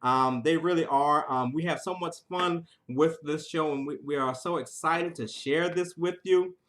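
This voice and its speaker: A man with a fairly high, very bright and forceful voice speaks as a theater teacher welcoming an audience. His delivery is informal and enthusiastic, and he often checks that listeners are following along. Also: moderate pace. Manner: enthusiastic, conversational, often checks in with "right?" and "okay?". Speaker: high school theater teacher introducing a show. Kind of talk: informal spoken introduction to an audience.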